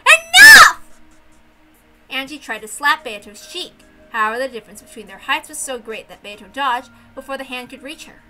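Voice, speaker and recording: A woman narrates a visual novel in an expressive reading style. Her voice is high and very bright. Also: fairly quick, hardly any pauses, faint music behind, poor audio. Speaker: reader and narrator.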